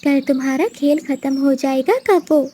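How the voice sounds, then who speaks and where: A woman's voice: high-pitched, fairly even intonation, moderate pace, mellow, lightly acted. A woman voicing a scheming, vengeful female bird with an accusing, dramatic manner in a moral-story cartoon. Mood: scheming, gloating. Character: vengeful, scheming female bird plotting against wicked crows.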